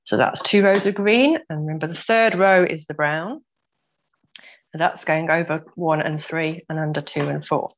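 A woman with a croaky voice teaching a weaving workshop over a video call, patiently explaining each step and laughing off her own mistakes. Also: low-pitched, fairly even intonation, normal speed, low-quality recording. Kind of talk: step-by-step craft demonstration. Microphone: laptop mic over video call.

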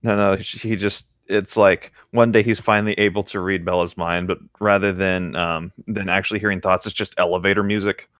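A man's voice: deep voice, steady tone, fairly quick, hardly any pauses, a bit bright, no room sound, studio quality. A man co-hosting a casual chat, speaking somewhat hesitantly as he thinks aloud. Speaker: podcast co-host.

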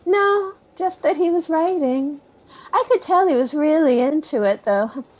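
Actress as candid woman with high voice. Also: expressive, measured pace, darkish tone, low-quality recording. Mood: candid, reflective. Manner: conversational, candid. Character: candid, motherly niece of a reclusive author. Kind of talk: naturalistic audio drama interview.

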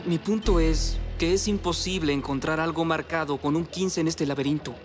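A man's voice: fairly high pitch, steady tone, moderate pace, music in the background, lightly acted. A man playing a practical, skeptical rescue team member in a cartoon dub, plain-spoken and businesslike. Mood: weary.

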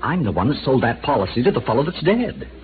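A man's voice: mid-range voice, big pitch swings, fast talker, close to an everyday voice. A man plays a flustered small-town insurance agent who rambles eagerly, his voice bouncing up and down. The sound is a tinny old radio broadcast with music behind him. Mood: fussy eager. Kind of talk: 1950s radio drama acting.

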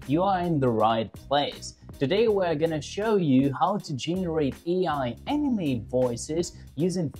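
Young man presenting with lively, swinging intonation. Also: mid-range voice. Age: 20s. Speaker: tutorial presenter. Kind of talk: scripted tutorial narration to camera.

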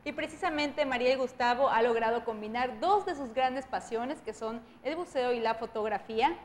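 A woman's voice: a little high, slight background noise. A young woman co-hosting a TV show, introducing the next segment in a presenter style from a studio set. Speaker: TV co-host. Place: indoor TV studio.

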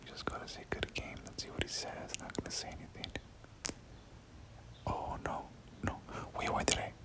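A person whispering casual, slangy ASMR gameplay commentary, muttering reactions and repeating short phrases. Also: moderate pace. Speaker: gaming video creator.

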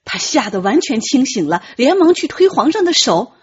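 A woman's voice: high voice, big pitch swings, moderate pace, husky, dull audio. A woman narrating an audiobook as dramatic, scripted storytelling.

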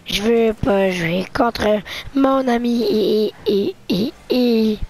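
A young woman with a sore throat, casually commentating a car-soccer video game match in an excitable, joking, chatty way. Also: fairly high pitch, very slow. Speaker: esports commentator.

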